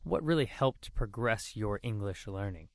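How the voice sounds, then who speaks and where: A man's voice: on the low side, animated, moderate pace, dry recording, studio quality. A man interviewing a guest in an upbeat, enthusiastic way with lots of fillers. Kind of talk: casual conversation.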